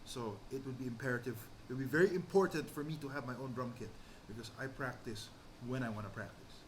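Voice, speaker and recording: Man rambling casually over clear background noise. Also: mid-range voice, fast talker, poor audio. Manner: rambling, repeats and corrects himself. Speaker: musician hosting a vlog. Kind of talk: casual storytelling and drum kit tour.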